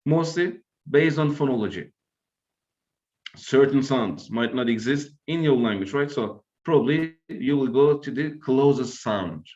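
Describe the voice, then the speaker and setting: A man's voice: medium pitch, lively intonation, a little slow, darkish tone, muffled recording. A man from Turkey with a Turkish accent, giving an academic lecture and often checking in with "right?". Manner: explanatory, tags points with "right?".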